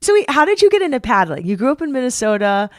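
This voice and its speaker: A woman's voice: high-pitched, very animated, quick pace, no echo, studio quality. A woman podcast host narrating a scripted intro and interviewing a guest with upbeat enthusiasm. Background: music bed under narration.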